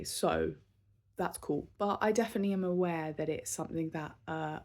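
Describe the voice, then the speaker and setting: A woman in her thirties recording a casual vlog, talking unscripted and candidly, with many pauses, fillers and restarts. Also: low voice, dark tone. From southern England. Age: 30s. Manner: candid and self-reflective. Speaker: vlogger.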